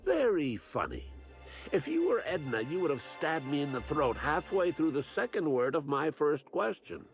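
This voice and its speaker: A man's voice: on the high side, very animated, normal speed, faint music behind. An older man playing a crotchety, eccentric old botanist in a video game, rambling and grumbling with morbid bluntness. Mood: startled, suspicious. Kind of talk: video game character acting.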